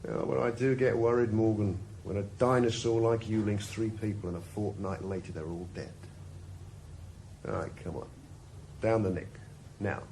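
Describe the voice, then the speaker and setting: An actor playing a stern police officer in a 1980s radio drama. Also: male voice, on the low side, normal speed, creaky, a little mellow, a little hiss or hum, low-quality recording. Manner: stern, authoritative.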